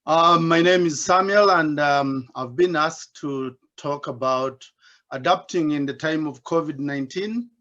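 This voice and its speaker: A middle-aged man from Uganda, giving a reflective talk with gentle self-mocking humor from his home basement. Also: medium pitch. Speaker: invited speaker, doctoral student. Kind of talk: personal reflective talk at an online symposium. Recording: dull, muffled video-call audio.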